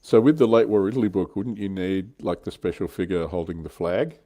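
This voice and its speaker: A man's voice: low-pitched, steady tone, fairly quick, mellow. A man hosting a livestream, chatting casually and jokingly about tabletop wargaming. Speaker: livestream host.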